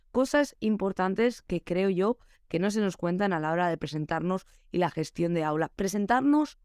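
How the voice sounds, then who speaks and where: A woman's voice: lowish voice, clean tone, dry recording, studio quality. A young adult woman hosting a solo podcast for teachers, chatty and reflective, giving practical advice.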